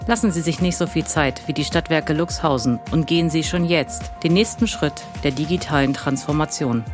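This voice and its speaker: A woman, a company managing director, reading a scripted promotional message. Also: low voice.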